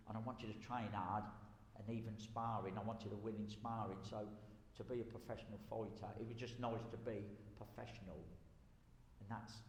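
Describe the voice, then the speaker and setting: An echoey hall surrounds a middle-aged man. His voice sits fairly low, and he chats in a rambling, repetitive way, looking back on old times. A little noise runs behind him. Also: a little mellow. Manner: rambling, repeats phrases as he goes. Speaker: interviewee, former pro boxer and amateur coach. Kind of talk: casual reminiscing chat.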